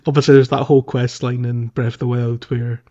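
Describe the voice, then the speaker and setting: A man's voice: mid-range voice, flat delivery, fairly quick, dark tone, lo-fi sound, slight background noise. A man hosting a casual gaming discussion in a jokey way, sometimes acting out lines in character. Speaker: podcast host. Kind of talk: casual video game discussion.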